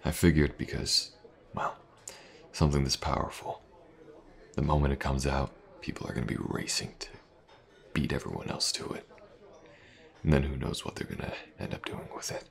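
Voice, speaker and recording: A man acts out a tough mercenary for hire in a fantasy audio roleplay. His voice is extremely deep and heavily gravelled. He murmurs intimately and unhurriedly, pausing often, with a teasing streak. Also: fairly even intonation. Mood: calm, assured. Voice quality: gravelly, breathy low murmur.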